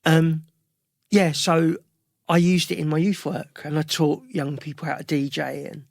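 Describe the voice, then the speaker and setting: A man's voice: a little high, little pitch movement, a little slow, gravelly, no room sound, studio quality. A middle-aged man with a London accent, a guest musician telling rambling anecdotes in a casual interview. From London, England.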